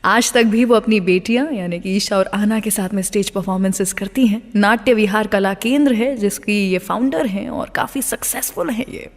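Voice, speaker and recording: A woman's voice: mid-range voice, normal speed, hardly any pauses, in a large hall. An Indian woman presenting a radio show conversationally, addressing listeners directly with questions and mixing English words into her speech.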